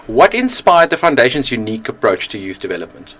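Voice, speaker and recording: A man's voice: mid-range voice, very animated, fast, fairly bright tone. A man hosting a radio interview who encourages his guests with frequent affirmations. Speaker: radio host.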